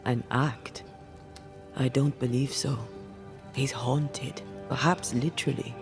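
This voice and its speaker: An actor playing a steady, sceptical ghost hunter in a video game, terse and direct, with a gravelly voice. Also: male voice, mid-range voice, slow. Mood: questioning. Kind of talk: video game cutscene acting. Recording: prominent music underneath.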